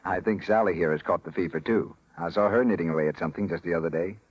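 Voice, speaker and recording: An American man announcing in scripted 1930s radio style with a husky voice. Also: sits low, quick pace, dull audio. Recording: old 1930s radio broadcast.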